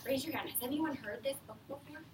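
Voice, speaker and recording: A woman's voice: sits high, fast talker. A woman teacher reading aloud to young children in a classroom, explaining simply and often prompting them with questions. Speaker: elementary school teacher. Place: echoey classroom. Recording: echoey room, distant mic, faint noise.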